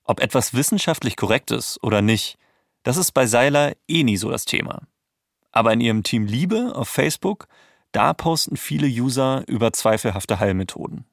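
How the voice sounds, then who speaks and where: A man's voice: medium pitch, animated, normal speed, vocal fry. Man narrating in an echo-free-sounding studio style. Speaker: documentary podcast host. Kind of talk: scripted narration.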